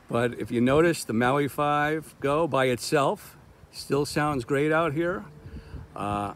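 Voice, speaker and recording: A man giving casual product demo commentary as a gear reviewer, talking outdoors in the open. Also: medium pitch. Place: open park lawn outdoors. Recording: outdoor recording with street traffic noise. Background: passing cars, outdoor ambience.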